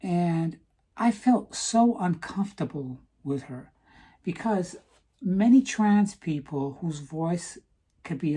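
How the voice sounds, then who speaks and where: A woman talking earnestly to camera in a personal vlog, stopping to ask "okay?" for emphasis, with some halting restarts. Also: sits low, warm tone, slightly rough. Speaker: vlogger.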